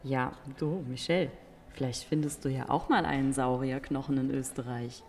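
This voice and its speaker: Woman as a low-voiced, encouraging museum companion. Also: fairly even intonation, moderate pace, darkish tone. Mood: impressed, encouraging. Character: interested, encouraging female companion on a museum visit. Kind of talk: audio play for young listeners. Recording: echoing hall, faint noise.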